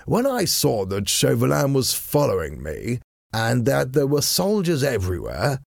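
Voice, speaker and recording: A man voicing a calm, bored-sounding gentleman hero in an audiobook, with a drawling, courteous, nonchalant manner. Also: mid-range voice, measured pace, gravelly, no room sound, clean studio sound, pitched above the performer's own voice, exaggerated voice. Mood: calm explaining. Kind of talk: acted audiobook dialogue.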